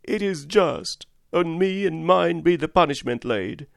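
A man's voice: fairly high pitch, animated, unhurried, creaky, no room sound, studio quality, pitched above the performer's own voice. A man voicing a frantic male curate who rants and preaches doom, crying out wildly. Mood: fervent. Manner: wild ranting with shouted cries.

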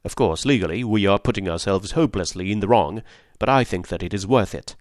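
A man reading aloud and voicing a calm detective who speaks in a measured, reasoned manner. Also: on the low side, no room sound, clean studio sound, close to an everyday voice. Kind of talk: audiobook character voice.

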